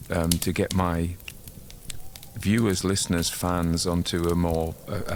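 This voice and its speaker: A man with a deep voice hosts in a casual, literary way. He talks like a storyteller chatting to regular listeners, drifting into rambling asides as he goes. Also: slight background noise. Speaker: host and narrator of a ghost story show.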